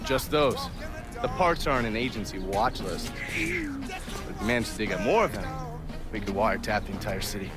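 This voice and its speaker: An actor playing a cynical, foul-mouthed middle-aged American former intelligence operative in a video game, blunt and sardonic. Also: male voice, fairly low pitch, huge pitch swings, normal speed, pauses often. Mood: matter-of-fact. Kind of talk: naturalistic video game acting. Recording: loud music behind the voice.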